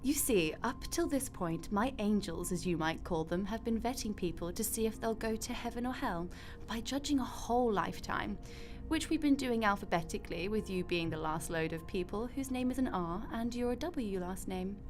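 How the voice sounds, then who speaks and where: A woman's voice: medium pitch, animated, moderate pace, faint music behind, close to an everyday voice. A woman acting in a radio drama as a breezy, glib God who runs the afterlife with chatty, flippant matter-of-factness. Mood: matter-of-fact explaining.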